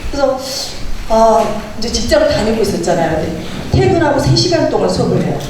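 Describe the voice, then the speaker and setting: A woman's voice: a little high, very animated, brisk. A middle-aged woman with a Gangwon Korean accent, chatting as a guest speaker about a local club and telling her story with many fillers. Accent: Gangwon Korean dialect. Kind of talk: casual talk to a gathering. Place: community meeting room. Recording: echoey, distant, noisy room.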